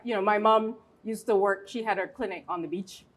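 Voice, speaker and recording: A woman, a podcast guest telling a relaxed, easygoing story with light laughter in her talk. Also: mid-range voice, a little fast. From Barbados. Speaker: podcast guest, water engineer. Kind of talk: relaxed conversational anecdote.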